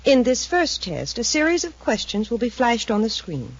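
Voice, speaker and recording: A woman's voice: fairly high pitch, huge pitch swings, moderate pace, full character voice. An actress playing an anxious but expert female research assistant in a 1950s radio adventure, precise and earnest. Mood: businesslike. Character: nervous, expert female research assistant in over her head. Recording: muffled 1950s radio recording.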